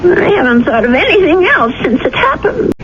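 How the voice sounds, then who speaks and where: A woman's voice: extremely high pitch, sing-song, normal speed. An actress playing an anxious elderly landlady in an old radio drama, with a hesitant, sighing manner. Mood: troubled. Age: older. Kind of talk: 1970s radio drama acting. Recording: dull old radio broadcast, faint hiss.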